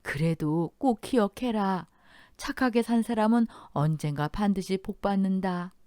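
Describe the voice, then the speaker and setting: A woman voicing a chatty, emotional older aunt who speaks high and loud. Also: unhurried, dry recording, clean studio sound, natural voice. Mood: tearful.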